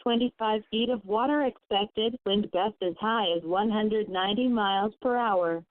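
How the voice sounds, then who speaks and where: A woman's voice: mid-range voice, normal speed, no room sound. Woman reading a mock weather alert. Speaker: caller. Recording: dry tinny phone line.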